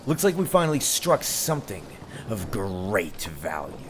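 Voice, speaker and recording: Man playing a deep, taunting pirate captain. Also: wildly swooping pitch, background noise, exaggerated voice. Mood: greedy, appraising. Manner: theatrical, taunting, drawn-out delivery. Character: ruthless male pirate captain, mocking, menacing, mock-gracious. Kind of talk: scripted ASMR roleplay acting.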